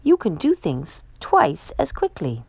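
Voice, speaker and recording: A woman's voice: lowish voice, huge pitch swings, slow pace, gravelly, darkish tone, slightly rough, no room sound, exaggerated voice. A woman reading the part of a kindly, encouraging male cook in a children's storybook.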